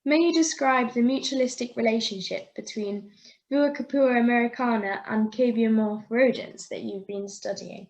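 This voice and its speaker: A woman's voice: fairly high pitch, moderate pace, a little mellow, muffled recording. A woman interviewer asking careful, read-out questions over a video call.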